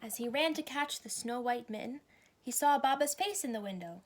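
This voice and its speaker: High-voiced woman reading a children's story. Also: lively intonation, moderate pace, slight background noise. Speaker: storybook reader. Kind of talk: children's story read aloud.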